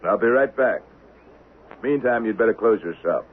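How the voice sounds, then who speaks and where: An actor playing a cool, sardonic, menacing avenger in a 1970s western radio drama, controlled and American-accented. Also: male voice, on the low side, lively intonation, moderate pace, lightly acted. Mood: quietly menacing. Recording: muffled, hissy vintage radio recording.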